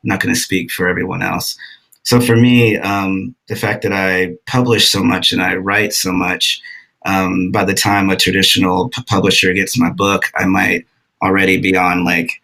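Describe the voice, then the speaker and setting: A man's voice: deep voice, level tone, moderate pace, vocal fry, sharp tone, low-quality recording. A man speaking on an author panel in a casual, hesitant way, with many ums and restarts. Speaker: writer on a book festival panel.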